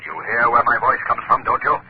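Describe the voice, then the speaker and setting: A man's voice: medium pitch, very animated, a little fast, lightly acted. An actor playing a mysterious, unseen crime fighter who taunts his foes in a 1930s radio thriller. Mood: taunting. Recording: very noisy, phone-like old radio recording.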